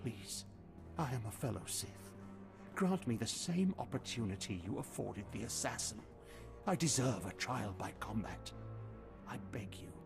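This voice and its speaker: An actor playing a desperate, disgraced veteran warrior held prisoner in a video game, solemn and pleading. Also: male voice, medium pitch, animated, measured pace, vocal fry. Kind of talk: video game character acting. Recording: music behind the voice.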